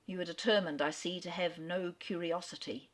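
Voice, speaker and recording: A woman reading the lines of an earnest gentleman who halts, then declares his love with solemn urgency. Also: fairly low pitch, steady tone, normal speed, lightly acted.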